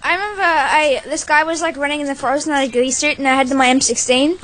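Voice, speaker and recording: A woman's voice: high voice, fairly even intonation, fast, a bit bright. A woman talking casually with a friend over voice chat while gaming, chatty and hesitant, full of fillers. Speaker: gamer.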